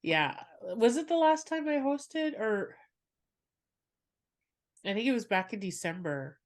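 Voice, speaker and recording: A woman's voice: fairly high pitch, big pitch swings, takes it slowly. A woman hosting a podcast, chatting casually with a guest, laughing often and rambling through asides. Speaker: podcast host and comedian.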